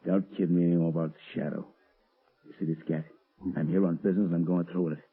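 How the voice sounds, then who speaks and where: A man's voice: sits low, little pitch movement, fast, breathy edge. An actor playing a menacing, mocking crook with sneering, slangy tough-guy talk in a 1930s radio crime drama. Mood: cold menace. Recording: muffled, tinny old radio recording.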